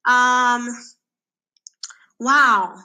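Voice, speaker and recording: A woman in her thirties from Sweden, answering interview questions thoughtfully with frequent pauses and fillers. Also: fairly high pitch, pushed and bright, rough recording. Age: 30s. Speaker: guest.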